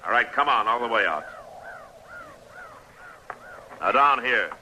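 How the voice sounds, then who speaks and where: An actor playing a stern, weary American marshal of the Old West, terse and commanding, in old-time radio western style. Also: male voice, mid-range voice, expressive, brisk, bright, forceful tone. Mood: shouting, commanding. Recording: noisy old radio drama recording.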